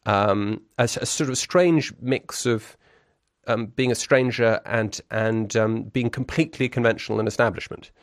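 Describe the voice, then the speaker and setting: A man in his forties with a lowish voice, musing aloud as a guest in a conversational interview. He circles his thoughts, stumbling through restarts and little fillers, yet lands on articulate, wry phrasing. The sound is close and dry, with no room echo. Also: moderate pace. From grew up in Brussels.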